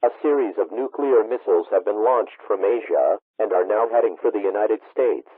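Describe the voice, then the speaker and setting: Husky man on a tinny broadcast line. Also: on the low side, fairly quick, dry recording. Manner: formal, impersonal. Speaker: emergency alert announcer. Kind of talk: scripted emergency broadcast announcement. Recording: muffled tinny radio broadcast sound.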